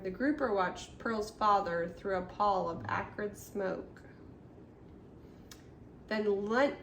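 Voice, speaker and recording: Older woman storyteller, gravelly creak, expressive rhyming narration, roomy echo, faint noise. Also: medium pitch, recorded from a distance. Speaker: storytime host reading aloud. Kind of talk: read-aloud children's rhyming storybook narration. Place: room at home.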